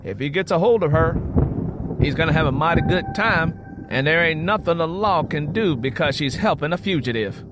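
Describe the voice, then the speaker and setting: A man's voice: a little high, lively intonation, moderate pace, background noise, pitched above the performer's own voice, lightly acted. A man voicing a domineering, menacing villain with a Southern US accent, commanding and sneering in an audio story. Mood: sneering threat.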